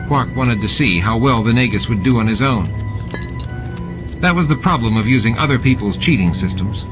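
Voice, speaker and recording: A man's voice: medium pitch, expressive, normal speed. A man narrating fiction from a script as an audiobook reader. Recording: music and sound effects behind the voice. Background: added music and sound effects.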